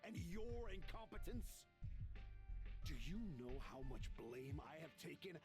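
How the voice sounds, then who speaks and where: An actor playing an arrogant, scheming middle-aged general in a video game, pompous and condescending. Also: male voice, on the high side, big pitch swings, unhurried. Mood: bitter, ranting. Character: arrogant, scheming middle-aged male military general, contemptuous and self-justifying. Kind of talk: dramatic video game voice acting. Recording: game music behind the voice.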